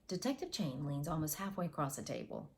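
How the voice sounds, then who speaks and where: A woman's voice: low-pitched, very animated, a little fast, creaky. Woman reading a novel aloud at home. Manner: reads aloud with character voices and retakes. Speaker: audiobook reader. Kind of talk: first-person novel reading. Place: home office with bookshelves. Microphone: wireless earbuds.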